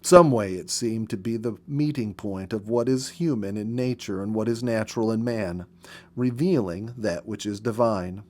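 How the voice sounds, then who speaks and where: A man reading a story aloud as a storyteller, with expressive, unhurried phrasing and dramatic pauses. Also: lowish voice. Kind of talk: expressive narrated audiobook reading.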